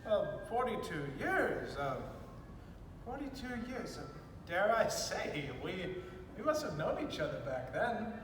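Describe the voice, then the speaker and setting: A man's voice: big pitch swings, slow, full character voice. A man playing a flustered, courteous elderly British general in a stage play, speaking in stammering, old-fashioned formal phrases. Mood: puzzled, courteous. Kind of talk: student stage play acting. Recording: echoey hall, distant mic, faint rumbling noise.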